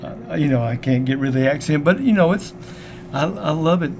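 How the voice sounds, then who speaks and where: An older man from Memphis with a strong Southern Tennessee accent talks eagerly and warmly, often doubling back on his words. His voice sits mid-range. The recording has clear background noise. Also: on the high side, lively intonation, fairly quick. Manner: warm, enthusiastic, repeats words. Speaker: guest musician. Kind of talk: casual interview chat. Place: local radio studio.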